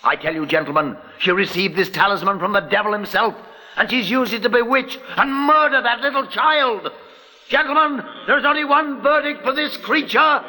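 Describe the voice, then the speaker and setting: A bullying witch-trial prosecutor in an old radio play. He declaims formal accusations in a high, forceful voice that rings with long reverb. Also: normal speed, a little hiss or hum, full character voice. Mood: fierce, accusing. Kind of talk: 1940s radio drama acting.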